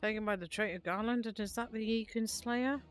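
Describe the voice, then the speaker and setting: A woman's voice: on the high side, steady tone, fairly quick, soft background music, close to an everyday voice. A woman voicing a hostile, clipped imperial soldier giving urgent orders in a fantasy video game. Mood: urgent, commanding. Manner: clipped, commanding.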